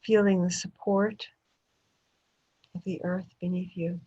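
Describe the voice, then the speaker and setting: A woman meditation teacher leading a guided meditation in gentle short phrases with long pauses between them. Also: lowish voice, flat delivery, slightly rough. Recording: dull, muffled lo-fi audio.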